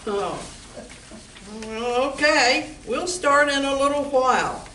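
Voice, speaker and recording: A woman's voice: sits high, animated, takes it slowly, bright, forceful tone, heavily acted. A woman playing a wry, practical bar owner in an amateur comedy play, speaking in a dry, businesslike way. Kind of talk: amateur stage comedy radio play acting. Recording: echoey room from far off, background noise.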